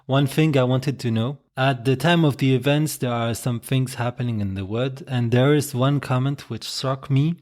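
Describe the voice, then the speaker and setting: A man's voice: medium pitch, moderate pace, hardly any pauses, darkish tone. A man hosting an interview podcast, respectful and polite, asking long rambling questions. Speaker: podcast host. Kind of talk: interview host.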